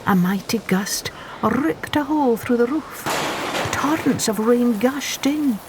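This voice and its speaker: Female storyteller for children's tales: expressive, unhurried, with widely varied pitch. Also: noisy background.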